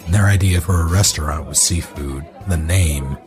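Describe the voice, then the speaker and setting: A man's voice: a bass voice, moderate pace, over music, crowd noise. A man acting a brooding, bitter, sardonic husband in a horror audio drama, with a taunting manner. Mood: dry, sardonic.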